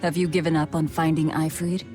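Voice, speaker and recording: A woman's voice: deep voice, flat delivery, a little fast, over music, natural voice. An actress playing a cold, hardened young woman fighter in a game, blunt and terse. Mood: curt.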